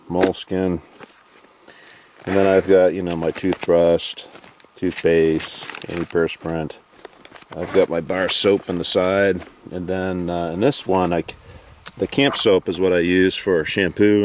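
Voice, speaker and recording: A man in his forties at an outdoor lakeside campsite, casually walking through his camping gear in a relaxed, list-like way with lots of "uh" and "you know". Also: sits low, slight background noise. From Maine, US. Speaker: canoe trip vlogger. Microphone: handheld camera.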